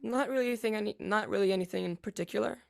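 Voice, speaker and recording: Halting teenage boy with gravelly voice. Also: sits high. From United States. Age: teens. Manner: halting, many fillers and restarts. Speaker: young pianist interviewee. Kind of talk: casual interview answers.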